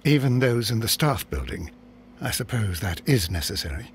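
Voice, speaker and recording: An actor playing a loyal, wry English companion to a detective in a video game, dry and gently teasing in manner. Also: male voice, fairly low pitch, very animated, moderate pace, slight background noise. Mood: earnest. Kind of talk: video game cutscene acting.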